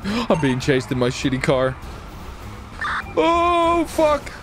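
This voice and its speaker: A man's voice: high voice, wildly swooping pitch, slow, a bit bright, a little hiss or hum. A man chattering live through a roleplay game on voice chat, exclaiming and swearing as he reacts aloud to the action. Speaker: gamer, channel host.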